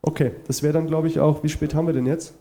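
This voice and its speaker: A man's voice: a little high, fairly even intonation, fast talker, warm tone. A man with a cold presenting at a conference and answering audience questions, with many fillers and restarts. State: has a cold.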